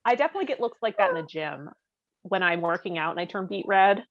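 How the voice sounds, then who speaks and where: A woman chatting casually in a candid, animated, joking way. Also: on the low side, fast talker, no echo. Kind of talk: casual chat. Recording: close, dry, slightly dull audio.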